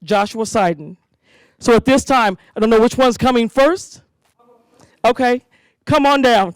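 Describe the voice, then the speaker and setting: A woman hosting a school community safety event, giving an animated speech to parents and leading call-and-response chants. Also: on the high side, a little slow, no room sound, rough recording.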